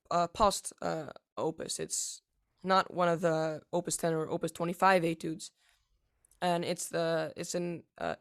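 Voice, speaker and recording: A teenage boy answering interview questions about piano, halting, with many fillers and restarts. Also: on the high side, gravelly, a bit bright. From United States. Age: teens. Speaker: young pianist interviewee.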